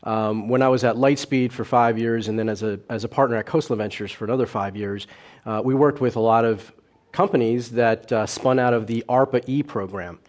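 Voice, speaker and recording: A man, a venture capitalist guest on a panel, answering at length with frequent pauses and restarts. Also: medium pitch, a little mellow. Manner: measured, many filler pauses and restarts.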